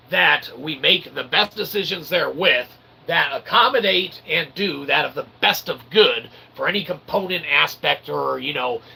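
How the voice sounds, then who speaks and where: A man's voice: fairly high pitch, vocal fry, bright, forceful tone, breathy edge, poor audio. A man giving a rambling informal monologue in mock-formal, wordy phrasing, often checking in with a short questioning tag. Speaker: channel host and self-published author.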